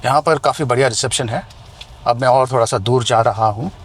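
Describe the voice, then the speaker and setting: A man's voice: medium pitch, fairly quick. A man with an Indian accent giving a conversational, explanatory hands-on product review outdoors. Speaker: tech reviewer and vlogger. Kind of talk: hands-on product review, mixing Hindi and English. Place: outdoors near residential buildings. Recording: noisy outdoor ambience.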